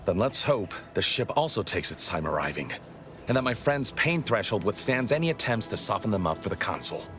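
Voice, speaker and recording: An actor playing a grave, guarded rebel spymaster in a video game, speaking in a formal, articulate way. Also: male voice, lowish voice, sing-song, fairly quick, over music. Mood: grave, urgent. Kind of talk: dramatic video game dialogue.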